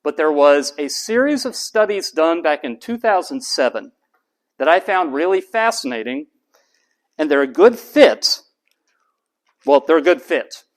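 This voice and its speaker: A man's voice: a little high, very animated, measured pace, creaky. A man giving a university lecture to a class, asking rhetorical questions and answering them himself.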